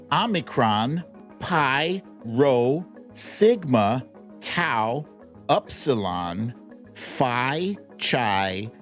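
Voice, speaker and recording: A man hosting a live news commentary show in an informal, wry, comedic way, often chuckling as he talks. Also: mid-range voice. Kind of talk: casual comedic political news commentary livestream.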